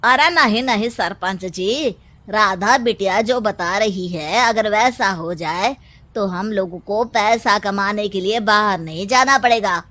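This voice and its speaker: A woman's voice: mid-range voice, measured pace, bright, forceful tone, no room sound. A woman playing an outspoken, insistent male villager in a cartoon. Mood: insistent, excited. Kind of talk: Hindi cartoon character acting.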